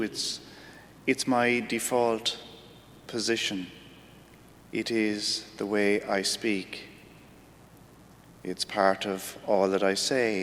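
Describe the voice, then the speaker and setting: Slow, reflective Irish priest in a church. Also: male voice, a bit bright. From Ireland. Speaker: priest giving a homily. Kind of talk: weekday Mass homily. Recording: church hall reverb with faint rumble.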